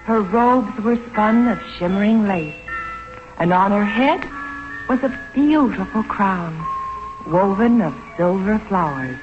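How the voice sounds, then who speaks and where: A woman's voice: mid-range voice, animated, takes it slowly. A woman playing a wondering fairy-tale storyteller, narrating dreamily in a 1940s radio advertisement. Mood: dreamy wonder. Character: enchanted fairy-tale storyteller describing a queen. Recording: dull 1940s radio recording with music.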